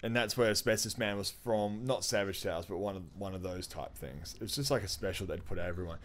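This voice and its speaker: A man with a lowish voice talks as a podcast co-host. His chat is casual and keen, peppered with slang and swear words, like someone enthusing to a friend. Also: quick pace, a little hiss or hum. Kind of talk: casual pop-culture chat.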